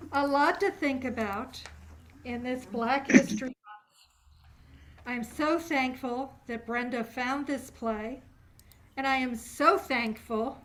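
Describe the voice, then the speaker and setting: A woman's voice: high voice, sharp tone. A woman hosting an event, giving informal closing remarks in an enthusiastic, encouraging way. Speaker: event host.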